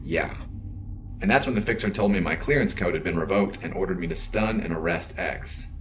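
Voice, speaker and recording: Deep-voiced, formal android officer. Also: male voice, a bit bright, a little hiss or hum. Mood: serious, explaining. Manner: precise, formal, measured wording. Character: earnest formal male android security officer, literal and principled. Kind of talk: science fiction audio drama acting.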